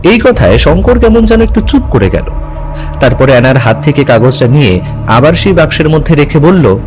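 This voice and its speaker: A man's voice: a little high, very animated, a little fast. A man narrating a scripted adventure story dramatically. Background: music.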